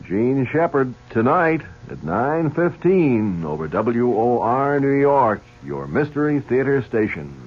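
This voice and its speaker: Male radio announcer, scripted, animated pitch, tinny narrow-band old broadcast. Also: slow pace, few pauses, breathy edge. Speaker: station announcer.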